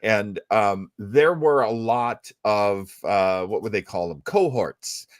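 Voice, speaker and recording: A man's voice: on the low side, slow, no echo, studio quality. A man hosting a show, chatting casually and musing as he explains things at length. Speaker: radio show host. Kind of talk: casual chat about old radio.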